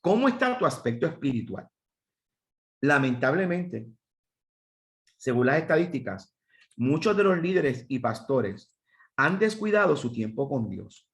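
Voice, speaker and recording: A man's voice: mid-range voice, very animated, measured pace, long pauses. A man with a Puerto Rican accent, teaching a seminar for pastors, counting off points and putting questions to his listeners. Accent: Puerto Rican Spanish. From Puerto Rico. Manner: emphatic, lists points and asks the audience questions.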